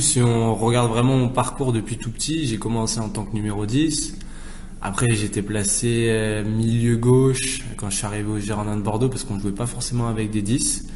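A man's voice: on the low side, darkish tone, slight background noise. A young man, a professional footballer, answering interview questions casually and explaining himself at length in a conversational way. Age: young adult.

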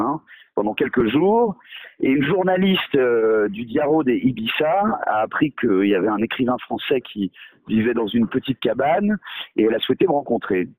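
A man's voice: medium pitch, no room sound, poor audio. A male novelist in a radio interview, talking hesitantly with many fillers and restarts, mocking himself. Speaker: novelist guest.

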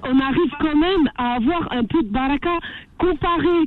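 A woman's voice: sits high, moderate pace, crowd noise. Woman phoning into radio debate, thin phone sound, pushy and argumentative. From France. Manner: insistent, argumentative. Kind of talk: heated live debate. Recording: thin narrowband phone line.

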